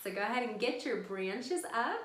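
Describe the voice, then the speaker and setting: A woman's voice: a little high, normal speed, a little hiss or hum. A woman hosting a children's storytime as a librarian, speaking in simple, encouraging, sing-song phrases addressed straight to young listeners. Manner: animated, sing-song delivery. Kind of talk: children's storytime with read-aloud, songs and rhymes. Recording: roomy, echoing indoor sound.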